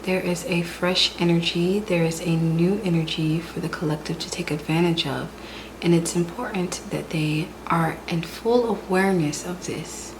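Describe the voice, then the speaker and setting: Hoarse, stuffy woman reading tarot. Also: on the low side, background noise. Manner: calm, repetitive, soft-spoken delivery. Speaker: tarot reader.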